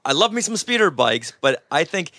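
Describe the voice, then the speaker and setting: A man's voice: on the high side, big pitch swings, normal speed, sharp tone, dry recording, studio quality. A man co-hosting a casual panel chat with a jokey, enthusiastic manner. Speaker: podcast co-host.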